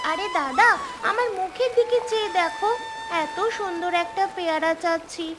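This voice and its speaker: An extremely high, childlike voice over music, a doting little sister in a cartoon. She pleads insistently and melodramatically, like a small girl who wants to be heard. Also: normal speed. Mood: coaxing.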